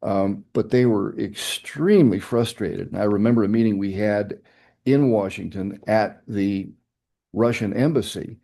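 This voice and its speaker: An older man with a low, gravelly voice and a dark, mellow tone. He recalls past negotiations in an oral history interview, reflective and now and then emphatic. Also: unhurried. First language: English. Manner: reflective storytelling, emphatic asides. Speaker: interviewee, nuclear fuel executive.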